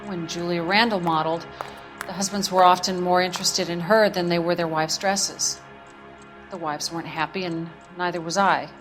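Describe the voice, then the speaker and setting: Woman acting formal dress shop manageress, lowish voice, polite, composed, game cutscene, faint music. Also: fairly even intonation, normal speed, fairly bright tone, natural voice. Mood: cool, disapproving.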